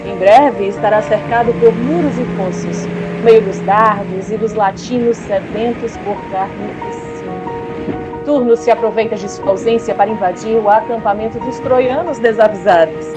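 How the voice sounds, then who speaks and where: A woman's voice: medium pitch, normal speed, over loud music, natural voice, low-quality recording. A woman playing a solemn, urgent messenger in an audio drama, grave and declamatory. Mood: grave warning.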